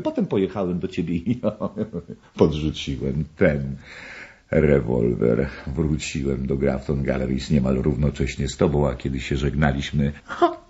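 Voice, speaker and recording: An actor playing a deranged, gloating murderer in a radio play, theatrical, drawn-out and erratic in his delivery. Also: lowish voice, lo-fi sound. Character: deranged, gloating male murderer, obsessed with knives.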